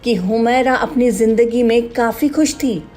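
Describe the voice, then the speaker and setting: A high-pitched woman narrator delivers a scripted news story in a dramatic style. Faint music plays behind her voice. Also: measured pace, darkish tone. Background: background music.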